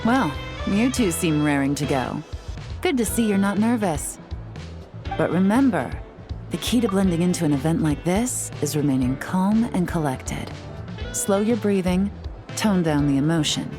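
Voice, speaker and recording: An actress playing a cool, shrewd and composed operative in a video game, speaking with calm assurance. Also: female voice, lowish voice, normal speed, music in the background, lightly acted. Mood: calm, confident. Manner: calm, assured, smooth-talking.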